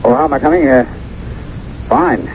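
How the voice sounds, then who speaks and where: An actor in a 1970s radio drama playing a troubled, haunted war veteran, wary, defiant and halting. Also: male voice, fairly high pitch, huge pitch swings, vocal fry, darkish tone, heavily acted. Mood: uneasy. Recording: dull, hissy old radio recording.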